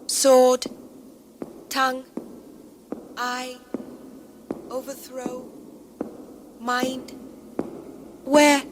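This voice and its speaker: Actress as a detached woman, radio drama verse, high-pitched, extremely slow, mechanical, fragmented, noisy recording with clicks. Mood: insistent, detached. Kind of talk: experimental radio drama recitation.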